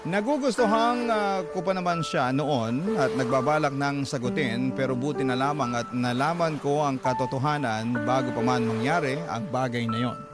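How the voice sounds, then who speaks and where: A man's voice: medium pitch, moderate pace, few pauses, loud music behind the voice. A man reading a first-person letter as dramatic narration, as the host of a radio story programme.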